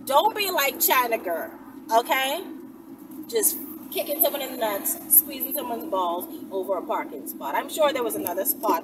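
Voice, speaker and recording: A woman in her thirties tells stories in a casual, blunt, slangy way, chatting to camera as a vlogger. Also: fairly high pitch. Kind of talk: casual storytelling chat to camera. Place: inside a moving car. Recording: distant mic, loud vehicle noise. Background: loud road and vehicle noise.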